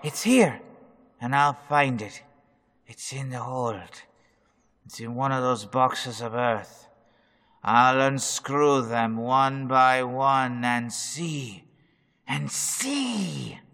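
A man's voice: mid-range voice, extremely slow, fairly bright tone, long reverb tail. A man playing a ship's mate driven mad with fear, speaking in a fixated, obsessive way in a radio drama reenactment. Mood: unhinged.

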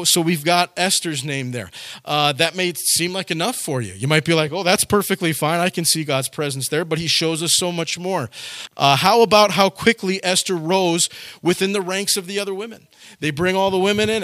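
A man's voice: on the high side, normal speed, sharp tone, dry recording. A man preaching a sermon in a conversational, folksy way, with frequent repeated words and side remarks. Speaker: pastor.

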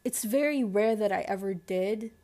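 A young woman in her twenties with a Lebanese accent, hosting a personal diary-style podcast and talking candidly and introspectively, often pausing to search for words. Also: medium pitch, dark tone. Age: 20s. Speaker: podcast host.

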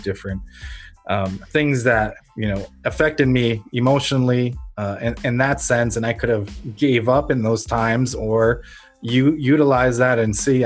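An American man hosts a podcast conversation in a mid-pitched voice. He speaks in an earnest, reflective, motivational way. Faint music plays underneath him. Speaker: podcast host.

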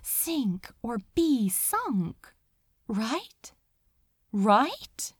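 A woman voicing an anguished, lovelorn male demon in a storybook, halting and bitterly exasperated. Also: a little high, very animated, husky, dry recording, clean studio sound, heavily acted. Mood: desperate.

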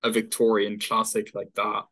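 A man's voice: lowish voice, monotone, moderate pace, sharp tone. A man in his twenties with a Dublin Irish accent, a guest author telling his story in an earnest, thoughtful way. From Ireland. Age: 20s. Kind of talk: casual interview chat.

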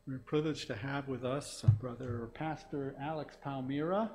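A man's voice: mid-range voice, steady tone, moderate pace, a little mellow, a little hiss or hum, low-quality recording. Rambling man introducing a guest. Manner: rambling, with many fillers. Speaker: church elder introducing the guest. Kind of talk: casual introduction.

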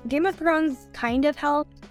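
A talkative woman guesting on a podcast, her voice sitting a little higher than most. She rambles loosely, stringing thoughts together with "like" at every turn, over faint music. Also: slow pace. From Canada. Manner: rambling, chatty, heavy use of "like". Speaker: guest author. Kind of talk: casual podcast chat.

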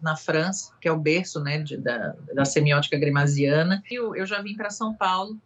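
A middle-aged woman with a Bahian accent, speaking as a mentor in a panel conversation in a measured, reflective way with frequent hesitations. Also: deep voice, breathy edge, lo-fi sound. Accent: Bahian Portuguese. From Salvador, Brazil. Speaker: mentor and guest.

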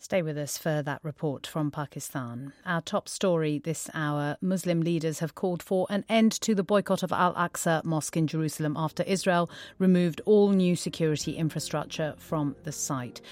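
A woman presenting a radio news programme in a measured, formal way. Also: low voice, a little mellow.

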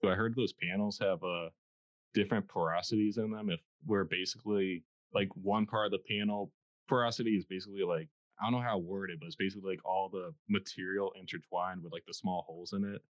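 A young man with a deep voice hosting a casual podcast, speaking tentatively with many fillers. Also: fairly even intonation, quick pace, dry recording. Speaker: podcast host. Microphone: podcast microphone. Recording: dull, dry sound.

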